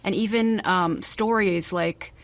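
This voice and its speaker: A woman in her thirties, an author born abroad, answering interview questions thoughtfully with many pauses and restarts. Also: medium pitch. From Malaysia. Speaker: author guest.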